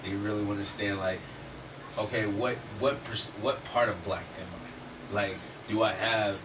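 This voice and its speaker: A man, talking in a casual discussion, animated and emphatic, swearing freely. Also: fairly low pitch, normal speed. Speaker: podcast host. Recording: distant mic with noticeable background noise.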